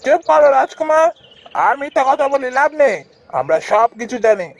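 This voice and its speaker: A woman's voice: high-pitched, sing-song, moderate pace, vocal fry, highs cut off, heavily acted. A woman voicing a stern, righteous royal elder in a cartoon, commanding and accusing.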